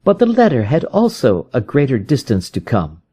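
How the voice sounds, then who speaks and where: A man's voice: medium pitch, huge pitch swings, moderate pace, warm tone, breathy edge. A man reading a storybook detective who is keen, confident and methodical, reasoning aloud with emphatic, probing questions.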